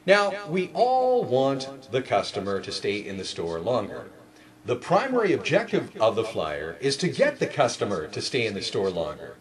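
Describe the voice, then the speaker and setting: A man's voice: medium pitch, huge pitch swings, normal speed. A man giving an instructional talk in an emphatic, salesman-like manner, as a trainer in store announcements.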